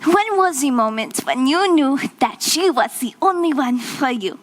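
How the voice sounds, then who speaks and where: A woman's voice: sits high, lively intonation, a little slow. A girl playing a flustered fake French lady. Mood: flustered pretending. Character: young woman faking a French maid accent. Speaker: child actor in a musical.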